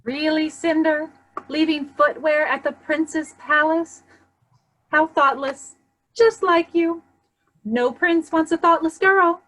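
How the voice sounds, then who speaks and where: A woman's voice: high-pitched, slow pace, a bit bright, low-quality recording. A woman playing a haughty stepmother with a cutting, theatrical manner in a fairy-tale play reading. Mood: scornful. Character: haughty, scolding stepmother doting on her daughter. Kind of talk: staged reading of children's play.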